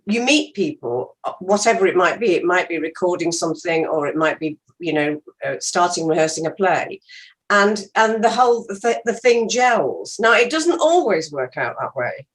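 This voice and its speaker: A woman's voice: mid-range voice, poor audio. An older woman, an actor chatting casually and reflectively in an interview.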